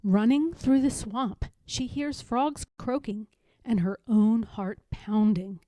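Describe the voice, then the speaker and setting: A woman reading a children's picture book aloud in a measured, dramatic narrating style. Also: a little high, dark tone, no echo. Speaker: storybook reader.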